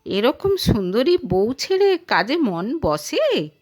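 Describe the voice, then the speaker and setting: A woman's voice: a little high, sing-song, takes it slowly, darkish tone, exaggerated voice. A woman voicing a wistful, teasing young man, a former lover in an audio story, with a probing manner.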